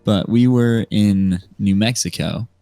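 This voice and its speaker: A man of nineteen with an American accent, chatting and telling stories as a podcast guest in a hesitant, unhurried way. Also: on the low side, steady tone, no echo. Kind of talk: casual storytelling chat. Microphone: remote voice call microphone. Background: soft music.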